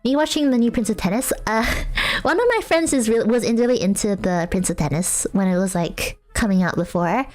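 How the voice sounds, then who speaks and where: A woman's voice: mid-range voice, brisk, a little mellow, faint music behind. Rambling young woman streamer. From Australia. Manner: rambling, filler-heavy, self-mocking, laughs often. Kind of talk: casual chat with viewers.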